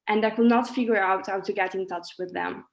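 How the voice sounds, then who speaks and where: A woman's voice: medium pitch, fairly even intonation, fairly quick. A woman in her twenties from Italy giving an earnest, reflective personal testimony as a student. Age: 20s. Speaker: student speaker. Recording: echoey room.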